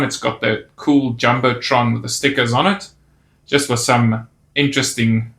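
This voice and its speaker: A man's voice: medium pitch, pushed and bright. A man narrating a casual toy set review, pointing out details with easy enthusiasm. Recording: roomy echo on the voice.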